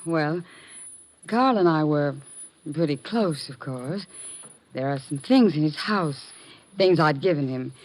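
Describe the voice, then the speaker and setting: A woman's voice: sits low, lively intonation, slow, pauses often, darkish tone. An actress playing an earnest, anxious young woman in a melodramatic 1950s-style radio drama. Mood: subdued, hesitant.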